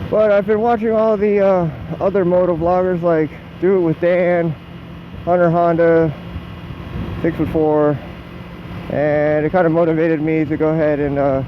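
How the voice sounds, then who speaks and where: A young American man with a fairly high voice talks off the cuff while riding a motorbike. He rambles in a self-deprecating, casually sweary way. The sound is dull and muffled, with some engine and road noise behind him. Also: level tone, moderate pace. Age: 20s. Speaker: motorcycle vlogger. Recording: muffled helmet mic with motor vehicle noise.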